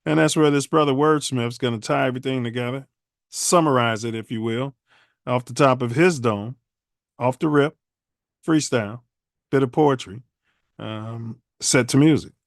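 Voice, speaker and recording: A man hosts a casual podcast, rambling along in loose slang. His voice is smooth and clear. The sound is dry and close. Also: medium pitch, normal speed, dry recording. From Chicago. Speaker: podcast host. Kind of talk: casual livestream podcast chat.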